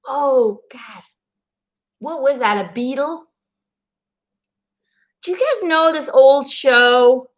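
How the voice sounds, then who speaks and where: A woman with a Belgian accent and a slightly raised pitch, speaking English as a second language after Dutch. She talks to her viewers in an earnest, encouraging way, often restarting and filling her sentences with "you know" and "um" as she gives an intuitive card reading. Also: animated, slow pace, pauses often.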